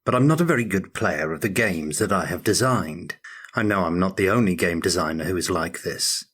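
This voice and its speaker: An older man giving measured, articulate answers as an interview guest in a prepared Q&A. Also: low-pitched, lively intonation, fairly bright tone, husky. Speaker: interview guest, tabletop game designer.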